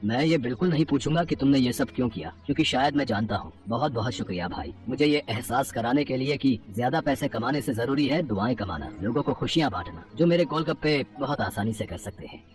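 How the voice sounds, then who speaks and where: A greedy, grumpy male street vendor from a cartoon fable, acted by a man. He is blunt and exclamatory, speaking at a medium pitch with faint music behind him. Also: lively intonation, quick pace, hardly any pauses, lightly acted. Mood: humbled, grateful. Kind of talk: animated Hindi moral story dialogue.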